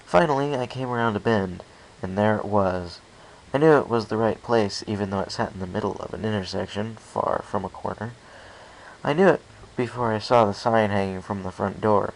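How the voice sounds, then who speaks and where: A man's voice: fairly low pitch, normal speed, vocal fry, low-quality recording. A man narrating a first-person story as an amateur audiobook reader, reading carefully with some stumbles. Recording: faint background hiss.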